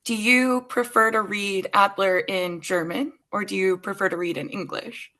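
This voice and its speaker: Bright-voiced woman co-hosting. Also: medium pitch, normal speed. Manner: relaxed, thinking aloud with fillers. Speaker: podcast co-host. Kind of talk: casual conversation.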